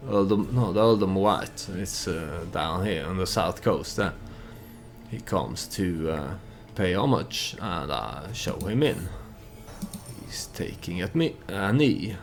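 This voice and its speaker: Slow, low-pitched man narrating a game. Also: expressive, soft background music. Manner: halting, thinking aloud, speaking as his character. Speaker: let's play host. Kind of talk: game commentary with storytelling, unscripted.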